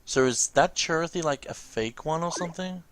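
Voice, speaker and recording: A let's play host with a mid-pitched voice talks casually over game music. The delivery is offhand and musing, moving between reading and informal commentary. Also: moderate pace, no echo. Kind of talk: casual commentary and read-aloud of game cutscenes.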